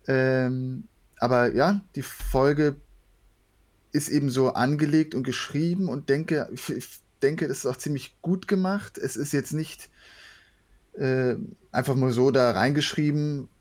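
A man answering casually as an interview guest, in a measured, reflective way. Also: mid-range voice, fairly even intonation, a little mellow.

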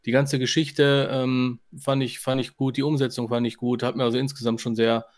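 A man's voice: mid-range voice, flat delivery, brisk. A man co-hosting a podcast, giving his opinions in casual chat with a hesitant, searching delivery. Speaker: podcast co-host.

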